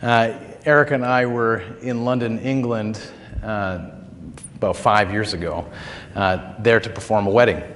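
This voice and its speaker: A man preaching a sermon as pastor, his throat still a bit hoarse, telling stories in a relaxed, conversational way with frequent fillers. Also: lowish voice, measured pace, long reverb tail, a little hiss or hum. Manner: conversational, folksy storytelling with filler words. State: recovering throat, slightly hoarse.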